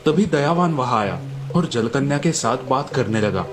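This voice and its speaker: A man's voice: mid-range voice, expressive, moderate pace, over music. A man narrating a scripted moral story as a storyteller.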